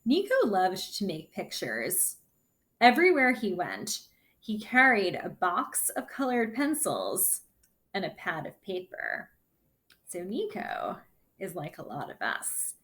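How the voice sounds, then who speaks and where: A woman speaks as a kindergarten teacher reading aloud to young children. Her pace is slow and deliberate, broken into short, simple phrases. Her medium-pitched voice rises and falls with lively intonation.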